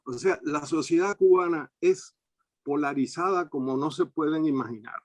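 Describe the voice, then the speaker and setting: A man's voice: fairly high pitch, moderate pace, dry recording. Witty older Cuban man, dry sound. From Cuba. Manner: witty, anecdotal, fond of wordplay. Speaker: panel guest. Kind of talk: panel discussion.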